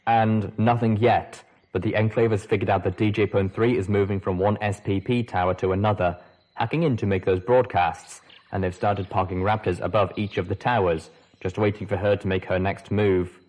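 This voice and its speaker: A man voicing a male helper who talks through a sprite robot, in a mechanical monotone, for a storybook reading. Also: fairly low pitch, moderate pace, natural voice, poor audio. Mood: flat.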